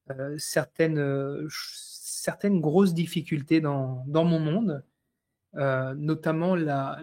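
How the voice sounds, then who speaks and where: A man in his thirties from France, a guest sharing his life story in a live online talk, speaking with many pauses and restarts. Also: a little high, darkish tone. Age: 30s. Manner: hesitant, many fillers and restarts.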